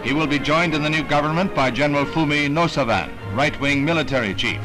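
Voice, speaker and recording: An American man narrates a vintage 1960s newsreel in a fairly high voice. His delivery is formal and dramatic, like a scripted announcer, with prominent music playing behind him. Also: fast talker.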